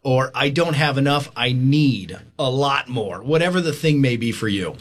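A man hosting a radio talk show with an energetic, folksy and jokey manner. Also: mid-range voice, normal speed. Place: broadcast studio.

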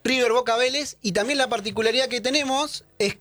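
Man, high voice, walks co-hosts through stats in a dry studio read. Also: brisk, fairly bright tone, no echo. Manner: explanatory, lists facts and names. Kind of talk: casual sports talk.

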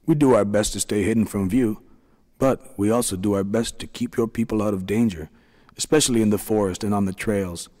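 Storybook: gentle tiny chief of the little people, read by a man, calm, low, roomy reverb. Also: a little fast, close to an everyday voice. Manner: gentle, soothing. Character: kind tiny middle-aged leader of little people.

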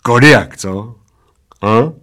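A man voicing a huge bald old man in a comic story, gruff, dismissive and needling. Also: mid-range voice, bright, forceful tone, lightly acted.

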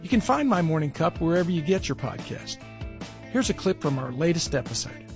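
A man's voice: a little high, very animated, a little fast, over music. A man hosting a podcast and interviewing a guest in a friendly, chatty way. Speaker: podcast host. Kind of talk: casual interview chat.

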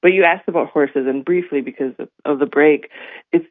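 A man from North Dakota, his voice a little hoarse, telling stories in a radio interview and often asking "right?". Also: fairly high pitch, brisk, no echo. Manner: warm storytelling with many fillers and "right?". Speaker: guest. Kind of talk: radio interview, casual talk. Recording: phone-like radio line.

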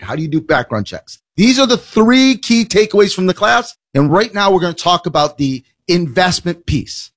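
A man hosting a financial advice radio show, talking in a blunt, emphatic way and rattling off lists. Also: on the high side. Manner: emphatic, blunt, rapid listing. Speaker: financial advisor radio host.

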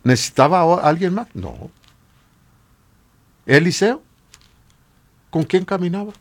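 A man with a Latin American Spanish accent and a husky voice, preaching informally and emphatically with repeated rhetorical questions. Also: high voice, very animated, slow. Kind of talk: informal exhortation sermon.

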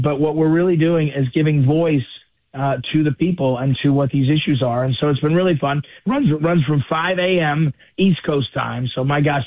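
A man with a voice going hoarse, a radio guest talking casually, repeating and correcting himself. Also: fairly high pitch, no echo. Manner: chatty, self-correcting, repeats words. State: losing his voice. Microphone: phone or call-in line. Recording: tinny phone line.

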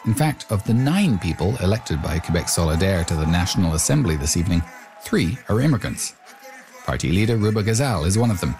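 A man reporting and narrating a radio news feature in a measured, explanatory way. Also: low-pitched, big pitch swings, a little mellow, faint music behind.

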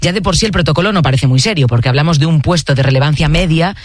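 Woman, radio news anchor, fast fluent delivery, dry studio sound. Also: deep voice, no echo. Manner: brisk, fluent, conversational delivery.

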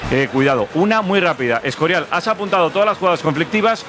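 A man's voice: on the high side, animated, fast talker, background noise. A man hosting a live football talk show, moderating the panel and cutting in to pass the word. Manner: moderating, cuts in to hand over. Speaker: show host.

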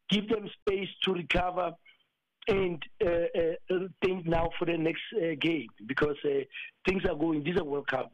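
A hoarse South African man with a husky voice speaks on a call, his tone slightly raised. He pushes his points hard but stumbles through fillers. The line sounds dull and dry. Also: on the high side, no echo. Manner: emphatic, halting with many fillers. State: hoarse from celebrating. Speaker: football coach, guest. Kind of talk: phone-in radio interview.

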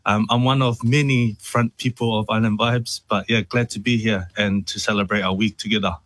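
A man, a musician guest on a radio interview, relaxed and friendly with lots of ums and yeahs. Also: fairly low pitch, moderate pace, dry recording. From New Zealand.

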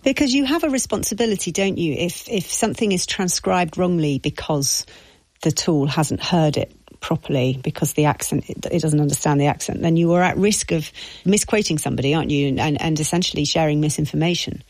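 A woman's voice: low-pitched, brisk, darkish tone, dry recording, poor audio. A woman, a radio interviewer putting probing, pointed questions to a guest.

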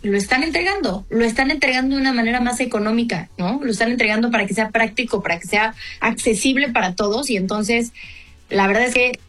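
A woman's voice: on the high side, fast talker, fairly bright tone, faint music behind. Chatty woman talking cars. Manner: talkative, thinks aloud with frequent fillers and restarts. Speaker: car reviewer and co-host. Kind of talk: casual conversation on a car talk show.

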